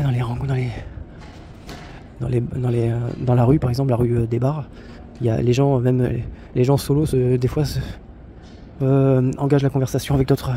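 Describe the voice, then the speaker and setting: Rambling man with a dark, mellow voice. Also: medium pitch, slight background noise. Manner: rambling, many fillers and restarts; blunt, slangy. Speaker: travel vlogger. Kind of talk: casual spoken monologue.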